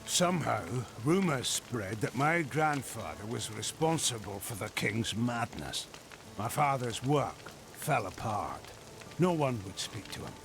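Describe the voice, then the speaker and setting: A guarded, polite nobleman from a fantasy game. His mid-pitched voice is gravelly and moves expressively, wistful in tone, with clear rain noise around it. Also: measured pace. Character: guarded, wistful well-born man. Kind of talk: fantasy video game acting. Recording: steady rain noise behind the voice.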